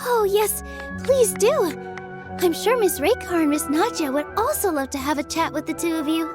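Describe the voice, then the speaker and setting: A sweet young female dancer in a video game. Her voice is very high and bounces up and down as she talks, gentle and polite. Game music plays clearly behind her. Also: expressive, moderate pace. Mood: friendly.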